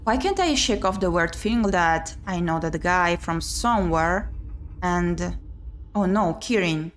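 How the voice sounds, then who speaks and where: Woman streamer reading a visual novel out loud, chatty, some noise behind. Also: lowish voice, animated, a little slow. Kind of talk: casual playthrough commentary and narration. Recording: clear background noise.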